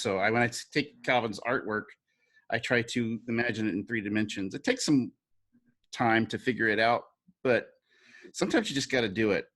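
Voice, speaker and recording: A man's voice: medium pitch, fairly bright tone. A plain-spoken man explaining his craft casually and encouragingly on a group call. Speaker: puppet builder with an art background. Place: remote virtual call.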